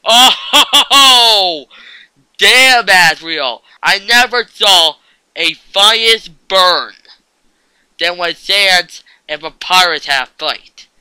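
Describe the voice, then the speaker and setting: A man's voice: sits high, lively intonation, very slow, sharp tone, clean tone, no room sound, poor audio. A man hosting an online video, giving casual fan-theory commentary in a jokey, sweary way.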